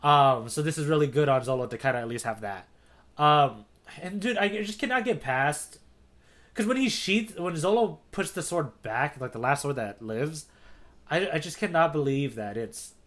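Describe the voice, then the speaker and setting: A man's voice: on the high side, normal speed, fairly bright tone. A man co-hosting a casual manga readalong podcast, talking with slangy enthusiasm. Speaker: podcast co-host.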